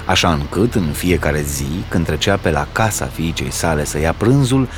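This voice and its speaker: A man reading a folk tale aloud as a storyteller, in an expressive, dramatic storybook style. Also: a bass voice, music in the background.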